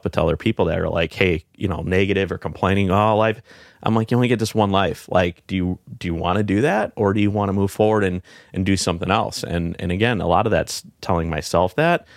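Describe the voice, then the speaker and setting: A middle-aged man with a deep voice, speaking in a reflective, halting way with many fillers and restarts, as a guest in a casual heartfelt conversation. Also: no echo, clean studio sound. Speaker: podcast guest.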